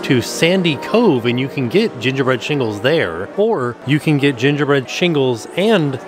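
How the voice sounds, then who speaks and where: A man's voice: mid-range voice, sing-song, moderate pace. A man hosting a vlog, chatting upbeat about food on a camera mic in a busy hotel restaurant. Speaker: vlog host. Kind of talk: casual vlog narration. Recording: crowded room with piano music. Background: crowd chatter and piano music.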